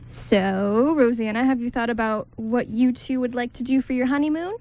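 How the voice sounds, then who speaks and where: A woman's voice: a little high, normal speed, darkish tone, close to an everyday voice. A woman playing an agreeable but self-doubting young bridesmaid in an amateur radio drama, with a hesitant, obliging manner. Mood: supportive.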